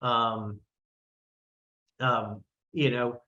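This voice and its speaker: A man in his sixties with a medium-pitched voice gives an informal, explanatory advisory talk. He is conversational and emphatic, circling back on phrases to drive them home. The audio is a little dull and muffled. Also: flat delivery, extremely slow, bright, forceful tone. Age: 60s. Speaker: financial advisor.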